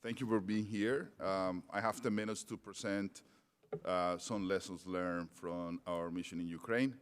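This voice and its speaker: A man's voice: low voice, a little slow, clean tone. A middle-aged man with a non-native English accent, giving a conference talk, hesitant with many fillers and restarts. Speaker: conference speaker. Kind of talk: conference presentation. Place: large conference hall. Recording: long hall reverb.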